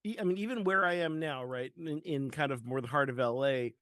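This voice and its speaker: A man's voice: mid-range voice, fairly quick, a bit bright, no echo, clean studio sound. A man from San Diego co-hosting a podcast in casual chat, hesitant with many ums. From San Diego, California. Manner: hesitant, full of ums and restarts. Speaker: podcast co-host.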